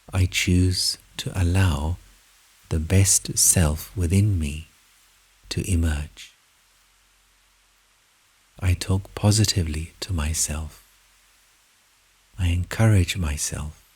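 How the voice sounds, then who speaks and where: A man with a husky, breathy voice narrates a guided meditation in measured, deliberate short phrases with long pauses. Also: sits low, fairly even intonation, a little mellow.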